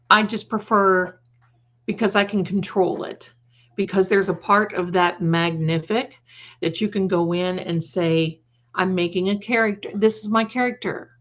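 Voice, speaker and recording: A woman's voice: medium pitch, a bit bright, muffled recording. A woman hosting a casual live chat, rambling and repeating phrases, with affectionate endearments for her viewers. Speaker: livestream host and creator.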